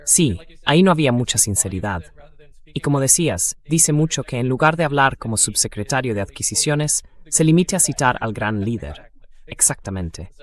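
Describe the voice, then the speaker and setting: A man speaking as a guest commentator in a political discussion, with a wry, critical way of talking. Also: mid-range voice.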